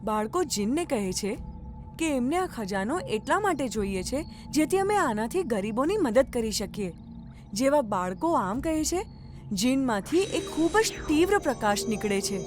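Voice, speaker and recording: A woman's voice: sits high, very animated, moderate pace, faint music behind. A woman narrating a scripted children's story as the storyteller.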